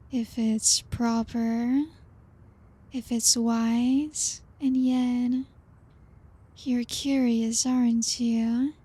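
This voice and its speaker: A woman voicing a lonely, seductive female vampire in an ASMR roleplay, speaking softly with teasing laughs and dropping into whispers. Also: fairly high pitch, slightly rough, heavily acted. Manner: slow, teasing and coaxing, soft-spoken with soft laughs; drops into whispers.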